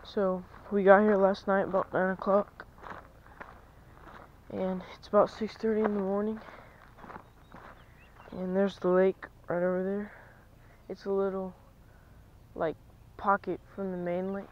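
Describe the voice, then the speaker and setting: A young man, vlogging as he fishes from a lake bank, chatty and excitable, dropping to a near-whisper at times. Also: high-pitched, measured pace, pauses often, dull audio, a little hiss or hum. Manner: chatty, excitable, drops to near-whisper while stalking fish. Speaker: fishing vlogger. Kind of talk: casual vlog narration. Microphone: chest-mounted action camera.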